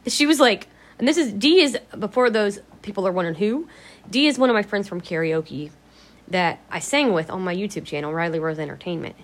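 A talkative woman rambles through a relaxed vlog with a slightly raspy, allergy-worn throat. She is blunt and swears freely, her pitch sitting in an ordinary middle range. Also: fairly quick. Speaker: vlogger. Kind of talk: casual rambling vlog chat.

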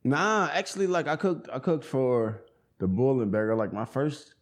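A man in his twenties talking casually in an interview, relaxed and full of slang, fillers and restarts. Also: mid-range voice, moderate pace. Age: 20s. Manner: laid-back slang, many fillers and restarts. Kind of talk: casual conversation.

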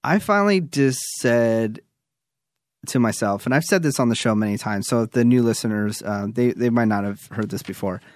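A man's voice: mid-range voice, normal speed, no echo, studio quality. A man in his thirties co-hosting a podcast, talking in a laid-back, casual way and saying "you know" often. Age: 30s. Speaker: podcast co-host. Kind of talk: casual advice chat.

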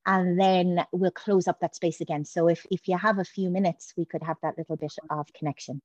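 Woman chatting on a podcast, lowish voice, lots of ums, dry sound. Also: steady tone, a little fast, a little mellow, dry recording. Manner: hesitant, many fillers and restarts. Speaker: guest, priestess. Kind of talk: casual podcast chat.

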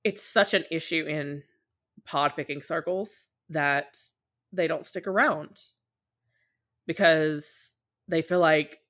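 A woman with a somewhat low-pitched voice chats casually as a guest on a podcast. She is talkative and candid, explaining things in loose, conversational runs and slipping into short quoted asides. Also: slow pace, long pauses, a bit bright. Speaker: podcast guest, podfic creator.

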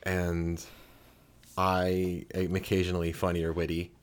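A man with a very deep, low voice chats on a home podcast. He talks in a halting way, restarting his sentences often. He sits close to a desk microphone that has a pop filter. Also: completely flat delivery. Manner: halting, with many restarts and fillers. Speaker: podcast co-host. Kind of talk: casual chat. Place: home room, sitting opposite his partner. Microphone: USB desk mic with pop filter.

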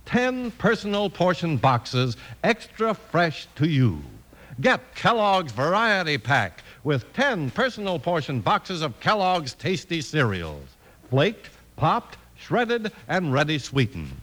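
High, animated vintage American radio announcer. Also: male voice, takes it slowly. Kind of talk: 1950s radio announcing and sponsor read. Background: music.

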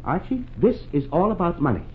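Blustery penny-pinching American father, 1940s radio comedy; highly animated delivery, thin tinny broadcast sound. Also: brisk, breathy edge, full character voice. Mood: exasperated. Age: middle-aged. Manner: blustering, pompous, sputtering. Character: blustery, exasperated, penny-pinching middle-aged suburban father.

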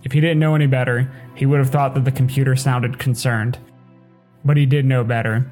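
A man with a medium-pitched voice narrates his short story from a script. Soft music sits faintly beneath the reading. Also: fairly even intonation, brisk.

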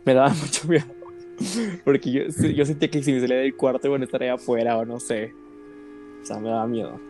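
A man's voice: mid-range voice, little pitch movement, fast, darkish tone, faint music behind. A young man co-hosting a casual chat, hesitant and laughing often. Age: young adult. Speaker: podcast co-host.

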